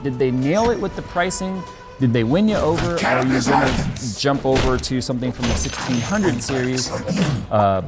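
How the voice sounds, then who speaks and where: A man talking casually and candidly to his viewers as a tech YouTuber, a bit exasperated. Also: mid-range voice.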